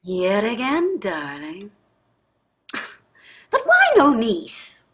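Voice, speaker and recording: Woman playing a bright, husky, sardonic society wife. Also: on the high side, huge pitch swings, very slow, full character voice. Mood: sardonic, dismayed. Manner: theatrical, sardonic complaining. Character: exasperated, wistful wealthy wife in her sixties. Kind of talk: staged play reading over video.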